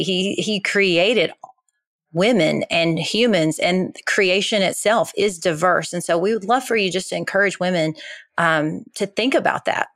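A woman's voice: mid-range voice, a bit bright, no echo. Thoughtful woman, dry close sound. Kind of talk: casual discussion.

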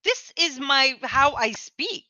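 A woman's voice: sits high, very animated, takes it slowly, pushed and bright, dry recording. A woman co-hosting a podcast in casual chat, teasing her co-host and laughing often. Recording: dull, lo-fi, dry.

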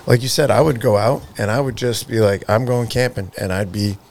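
A man in his forties hosting a podcast, chatting in an animated, slangy way. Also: on the low side, brisk, gravelly. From Alaska. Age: 40s. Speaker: podcast host. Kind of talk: casual chat.

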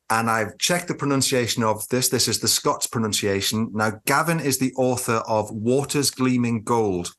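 A man's voice: mid-range voice, fairly bright tone. A male podcast co-host with a mid-range voice. He introduces his guest with playful asides and real enthusiasm.

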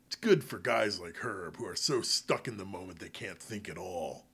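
A man's voice: low-pitched, lively intonation, moderate pace, vocal fry, a bit bright, breathy edge, pitched below the performer's own voice, full character voice. A man playing a bitter, self-justifying former salesman confessing in a first-person apocalypse story, with a sardonic, self-pitying manner. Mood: wry, reflective. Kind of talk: dramatic first-person audio fiction monologue.

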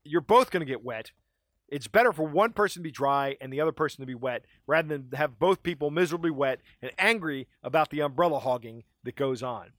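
A man from Maryland co-hosting a casual podcast, ranting in an animated way with frequent laughs, his voice starting to go. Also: fairly high pitch, brisk, no room sound. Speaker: podcast co-host.

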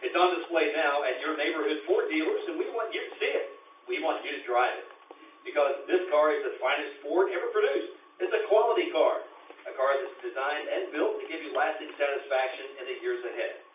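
A man's voice: a little high, brisk, phone-quality sound, a little hiss or hum, natural voice. Male actor as earnest radio ad announcer, 1950s style, thin band-limited sound, echoey, distant. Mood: earnest, promotional. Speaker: commercial announcer.

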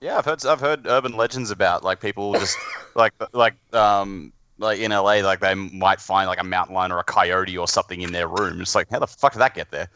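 A man's voice: low-pitched, a little fast, sharp tone, highs cut off, a little hiss or hum. A man in casual podcast chat, joking in a wry, sweary way.

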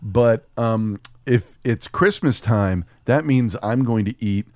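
A man's voice: on the low side, takes it slowly, darkish tone, dry recording, studio quality. An American man co-hosting a podcast, chatting casually with a sarcastic, ranting, sweary manner. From Texas, United States. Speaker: podcast co-host. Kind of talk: casual opinionated chat.

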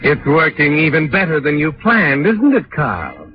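An actor playing an ominous, all-knowing narrator who sardonically addresses the culprit in a 1940s radio mystery. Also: male voice, on the high side, huge pitch swings, measured pace. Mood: knowing, suspenseful. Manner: sardonic, addresses the character as "you". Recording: muffled vintage radio recording with music.

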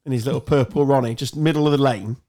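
A man's voice: mid-range voice, quick pace, dark tone. A man hosting a casual sports podcast with joking, teasing banter. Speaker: podcast host.

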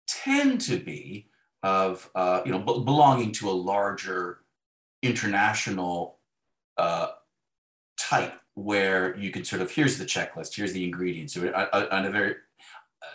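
A man talking reflectively and haltingly as a novelist being interviewed, often voicing imagined remarks. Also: deep voice, a bit bright. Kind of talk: thoughtful conversational interview. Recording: roomy, echoing sound.

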